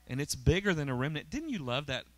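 A man's voice: a little high, very animated, fast, no echo. A middle-aged man, preaching a conversational sermon on a church stage into a handheld mic and often checking in with the congregation. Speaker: pastor. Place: church auditorium stage, indoors.

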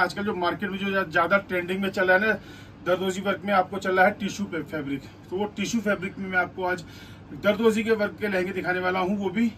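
A man's voice: high-pitched, a bit bright. A man, a shop salesman giving repetitive, emphatic sales patter to camera with English words mixed in. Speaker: shop salesman presenting products. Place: small indoor clothing shop. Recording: distant mic, faint background noise.

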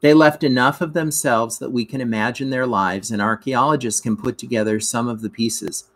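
A man reads educational narration aloud like a teacher, in measured, careful phrases with emphasis at sentence openings. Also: mid-range voice, steady tone, fairly bright tone. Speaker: teacher reading a lesson aloud.

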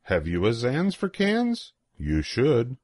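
Older man reading rhymes with animated pitch. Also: takes it slowly, dry recording, studio quality. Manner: playful, sing-song rhythm with swooping emphasis. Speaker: storybook reader. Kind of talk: expressive read-aloud of a children's rhyming picture book.

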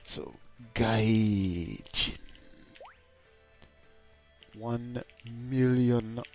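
A man's voice: on the low side, extremely slow, creaky, darkish tone, soft background music. Crude, mocking male game streamer. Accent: mixed British, Caribbean and American. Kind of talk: casual game commentary, reading the game text aloud.

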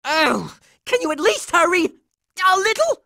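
Cartoon game role: impatient, complaining young boy, sharp high voice, dry close recording. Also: sing-song, unhurried, vocal fry, no room sound, full character voice. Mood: exasperated. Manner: whiny, blunt, complaining. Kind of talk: cartoon video game voice acting.

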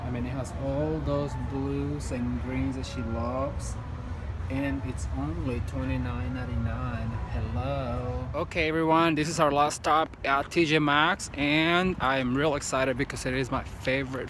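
A man's voice: mid-range voice, background noise. A man in his thirties filming a casual shopping vlog on a phone held at arm's length, gushing over decor in big stores and outside in a parking lot. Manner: chatty, gushing, enthusiastic. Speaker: home decor vlogger.

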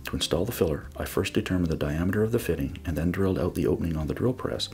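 A man giving a scripted voice-over for a do-it-yourself build, explaining each step in a measured, methodical way. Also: low-pitched, lively intonation, darkish tone, faint music behind. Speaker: DIY builder narrating a project.

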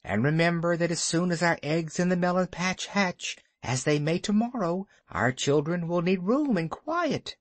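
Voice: mid-range voice, animated, normal speed, few pauses, no room sound, voice pushed up, close to an everyday voice. A storyteller voicing a cold, commanding female cobra who plots in an urgent, persuasive tone. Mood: insistent.

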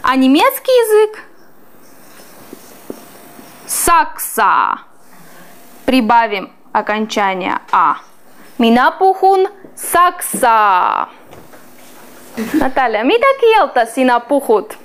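A woman in her thirties teaching a language class in a small classroom, slowly and clearly drawing out foreign words. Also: sits high, sing-song, a bit bright. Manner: slow, clearly drawn-out pronunciation of foreign words.

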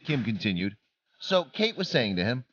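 A man's voice: mid-range voice, very animated, normal speed, a bit bright, lo-fi sound, a little hiss or hum. A man narrating a scripted documentary-style story as a voice-over.

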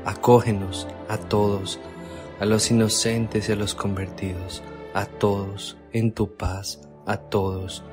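An actor playing a devout apostle praying aloud in a radio drama, solemn and exalted in manner. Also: male voice, lowish voice, natural voice. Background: music.

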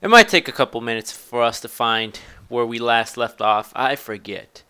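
A man's voice: mid-range voice, moderate pace, pushed and bright, smooth. A man doing casual video game commentary, rambling and thinking aloud. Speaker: gameplay commentator. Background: game music.

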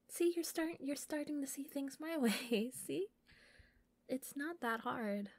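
A shy, obsessively devoted young yandere woman, played by a voice actress in an intimate roleplay audio. She coaxes softly and hesitantly, taking her time over her words. Her voice is fairly high and recorded very dry and close, slipping toward whispers. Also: dry recording, heavily acted. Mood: sweet, encouraging. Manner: soft, hesitant, coaxing, breaking into whispers.

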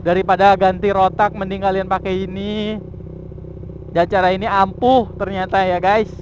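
A young man riding a motorcycle outdoors and chatting excitedly into his camera mic, casual and slangy. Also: high voice, normal speed. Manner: excitable, slangy, chatty, bursts of loud enthusiasm. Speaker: motovlogger. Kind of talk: casual motorcycle test-ride vlog. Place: outdoors on a moving motorcycle on town streets. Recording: loud engine and traffic noise.

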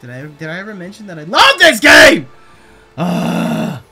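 A hyped-up man commentating a video game from his home setup on a headset mic. He swears freely and loops his catchphrases, and his slightly high voice is wearing hoarse. Faint music runs underneath. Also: a little slow. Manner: excitable, repeats catchphrases, swears a lot. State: voice getting hoarse. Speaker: gaming YouTuber. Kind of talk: let's play commentary.

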